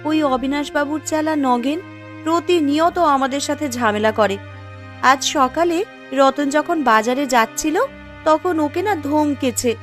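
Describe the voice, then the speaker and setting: A woman's voice: high-pitched, normal speed, faint music behind, close to an everyday voice. A woman playing a distressed poor village wife in a cartoon story, speaking in a plaintive, aggrieved manner. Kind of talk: Bengali cartoon story acting.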